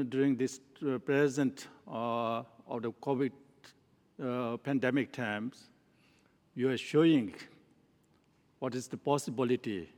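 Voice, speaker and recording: A man from Nepal, a teacher, giving a spoken teaching in slow, halting English full of restarts. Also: medium pitch, a little mellow. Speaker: Tibetan Buddhist teacher. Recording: long hall reverb.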